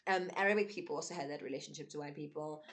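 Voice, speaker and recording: Brief: young South African woman vlogger, a touch low-pitched, earnest and emphatic, unscripted talk peppered with "um" and "right?". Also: rapid-fire. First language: not English. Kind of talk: unscripted commentary to camera.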